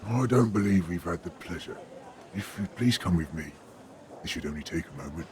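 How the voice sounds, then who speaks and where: Man acting a cold audio drama villain, deep voice, courteous, formal, menacing, noisy background. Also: expressive, a little fast, darkish tone. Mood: falsely polite. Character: cold, polite male assassin of a secret order. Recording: noisy mix with effects and ambience.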